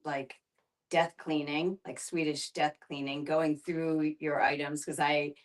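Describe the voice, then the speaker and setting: A woman's voice: low voice, little pitch movement, takes it slowly. A woman facilitating a casual group discussion, thinking aloud in a hesitant way.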